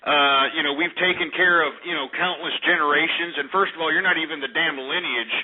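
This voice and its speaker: A man's voice: a little high, a little fast. An American man hosting a call-in radio show, ranting argumentatively in a blustering, mocking manner and cutting in. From United States. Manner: combative, blustering, mocking, interrupting. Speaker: radio talk show host. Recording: tinny phone-like internet radio sound.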